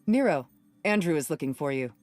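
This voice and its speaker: Game role: authoritative squad captain, lowish voice, firm, matter-of-fact delivery, music behind. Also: female voice, lively intonation, fairly quick, darkish tone. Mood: stern, brisk. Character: composed, authoritative female captain of a hunter squad. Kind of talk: video game voice acting.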